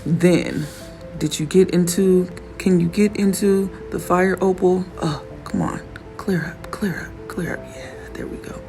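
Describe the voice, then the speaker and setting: A raspy woman vlogging casually about her own work, admiring it out loud and laughing often. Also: fairly low pitch, unhurried. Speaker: fashion designer vlogger. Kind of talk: casual show-and-tell vlog. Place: workshop in Arizona. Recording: music playing behind the voice.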